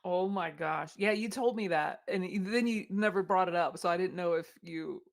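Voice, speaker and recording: A woman co-hosting a podcast in casual chat, animated and full of questions. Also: on the low side, moderate pace, gravelly. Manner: animated, inquisitive, laughing. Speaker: podcast co-host.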